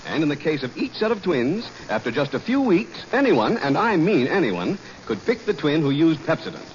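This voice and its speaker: A male announcer reading a 1940s radio commercial in a brisk, persuasive way. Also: on the high side, big pitch swings. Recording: dull 1940s radio broadcast, faint hiss.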